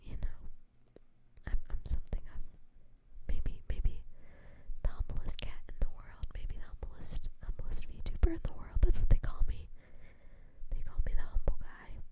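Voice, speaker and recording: A woman VTuber streamer whispering through a relaxed ASMR chat, rambling and joking about herself, on a standard non-binaural mic. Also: moderate pace. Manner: rambling, self-mocking, giggly.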